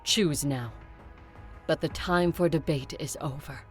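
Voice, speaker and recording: A low-voiced, impassioned vampire leader. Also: female voice, a little slow. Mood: resolute. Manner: forceful, emphatic oratory. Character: impassioned, fed-up vampire conqueror leader arguing at council. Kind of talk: dramatic audio drama acting. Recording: music under the voice.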